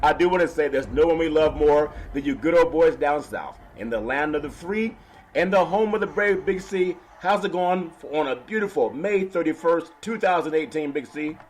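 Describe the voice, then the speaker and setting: A man with a raspy, half-lost voice hosting a casual sports talk show, laughing often and talking slowly. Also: on the high side, slight background noise, low-quality recording. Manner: slow and laughing, keeps calling his co-host's name. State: hoarse, partly lost voice. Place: West Coast radio studio.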